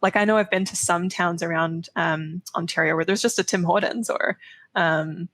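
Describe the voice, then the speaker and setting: A woman from New Zealand with a New Zealand accent, a dietitian guest talking in a relaxed way with many fillers on a podcast. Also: lowish voice, normal speed. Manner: relaxed, lots of "like" and "um". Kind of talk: casual podcast interview.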